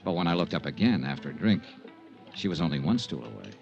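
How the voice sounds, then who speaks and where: An actor playing a wry, tough American insurance investigator in a 1950s radio detective drama, with a dry, clipped delivery. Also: male voice, sits low, lively intonation, quick pace, vocal fry, lo-fi sound, soft background music. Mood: wry narration. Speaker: lead actor and narrator.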